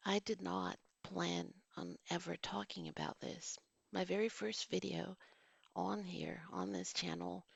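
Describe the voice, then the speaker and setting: A woman's voice: lowish voice, creaky, breathy edge, no room sound. A woman in her forties, a vlogger and teacher, reads a written movie review and drifts off into casual, digressive asides. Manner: digressive, reads formal sentences then drifts into casual remarks. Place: home.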